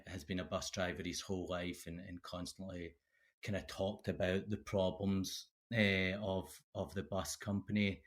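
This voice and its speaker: A Scottish man in his thirties, a guest speaking casually in an interview, halting with many fillers and restarts. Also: sits low. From Scotland. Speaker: guest, PhD candidate.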